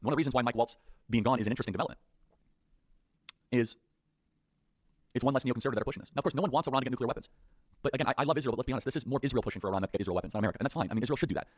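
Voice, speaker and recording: A man delivering a fast political talk-show monologue, emphatic and full of rhetorical questions. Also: mid-range voice, dry recording, studio quality.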